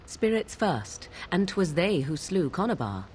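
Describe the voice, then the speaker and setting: An actress playing an aloof, sardonic young witch of the wilds in a fantasy video game, with formal archaic diction and a deliberate, theatrical delivery. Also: on the low side, big pitch swings, darkish tone, a little hiss or hum. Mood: storytelling, cool.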